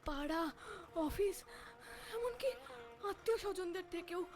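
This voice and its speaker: A woman voicing a high, sorrowful young female ghost. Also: animated. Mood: grieving. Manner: plaintive, halting, sorrowful. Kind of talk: Bengali horror cartoon voice acting.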